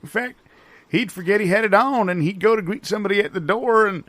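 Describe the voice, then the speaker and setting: A man playing a forgetful, folksy old grandpa who tells halting tall tales in improvised comedy. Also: high voice, a bit bright, dry recording. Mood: rambling, folksy.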